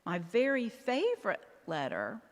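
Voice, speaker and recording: A middle-aged woman gives a prepared motivational talk to a church audience, emphatic and animated, her voice jumping up sharply on key words. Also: medium pitch, unhurried. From Southern United States. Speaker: author speaking to a church audience. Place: church hall. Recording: long reverb of a large hall.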